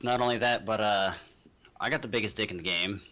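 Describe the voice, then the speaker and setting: A man's voice: on the low side, fairly quick, pushed and bright, a little hiss or hum. A man playing a tabletop game, chatting casually with dry, cocky, sweary banter. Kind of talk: casual chat.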